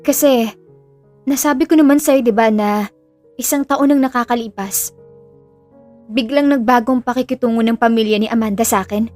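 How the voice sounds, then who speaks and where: A woman's voice: a little high, moderate pace, long pauses, a little mellow, lightly acted. A woman playing an earnest, burdened young woman in an audio drama, confiding in a subdued way with a Filipino accent. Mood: serious. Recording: music under the voice.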